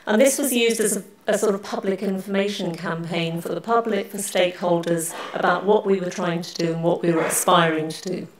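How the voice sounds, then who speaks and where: A woman from Wales giving a conference presentation, speaking in a measured way with frequent pauses. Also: on the low side, steady tone. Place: large conference hall. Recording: echoey hall.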